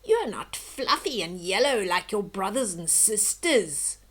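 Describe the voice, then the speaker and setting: A woman's voice: medium pitch, very animated, a little slow, a bit bright. A woman voicing spiteful, haughty birds in a storybook, sneering and taunting. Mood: mocking.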